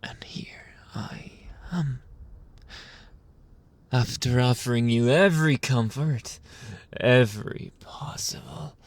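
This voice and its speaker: A man playing a menacing, possessive mafia boss in an ASMR roleplay, speaking deliberately with mock sweetness and often dropping into a whisper. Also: mid-range voice, no room sound. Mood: smug, taunting. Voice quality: breathy, often whispering, creaky.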